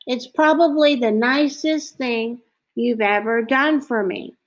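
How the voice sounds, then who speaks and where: Seven-year-old storybook boy, read by a woman, earnest, stubborn, plain-spoken, lightly raised pitch. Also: slow pace. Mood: stubborn calm.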